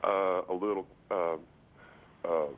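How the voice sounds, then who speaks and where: A man phoning a call-in show, speaking casually and haltingly, repeating words as he insistently makes his point. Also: deep voice, little pitch movement. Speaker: radio and TV phone-in caller. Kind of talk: casual opinion and anecdote on a call-in. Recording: voice over phone line to broadcast.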